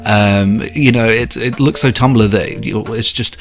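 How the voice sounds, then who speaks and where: A man in his late twenties giving a sarcastic, profane commentary monologue, with sudden pitch jumps for emphasis. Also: a little slow, faint music behind. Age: 20s. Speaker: video commentator.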